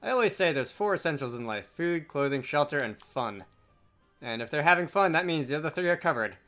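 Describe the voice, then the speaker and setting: A man's voice: a little high, expressive, a little fast, sharp tone, faint music behind. A man voicing a breezy, worldly Scottish wizard who is fond of drink, with a jovial, opinionated manner. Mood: philosophical.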